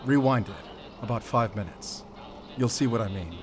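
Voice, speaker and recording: A man's voice: fairly low pitch, normal speed, lightly acted. An actor playing an earnest male captive who argues his case insistently in an audio drama. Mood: urgent. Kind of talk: cinematic audio drama acting. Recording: faint vehicle noise behind.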